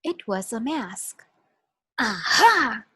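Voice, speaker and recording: Brief: expressive teacher reading aloud to little kids, slow pace, dry close sound. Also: female voice, mid-range voice, slightly rough, dry recording. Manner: expressive, storytelling. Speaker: pre-kindergarten teacher. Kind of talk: children's read-aloud.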